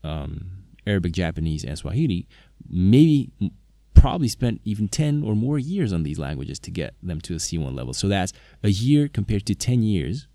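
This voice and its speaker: A man whose first language is Slovak speaks to his viewers in a low, mellow voice. He hesitates often, restarting phrases and filling gaps with "um." The recording is dry and close, with no room sound. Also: sing-song, normal speed, few pauses, no room sound. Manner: hesitant, many fillers and restarts. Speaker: polyglot video maker, interpreter. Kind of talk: casual talk to camera.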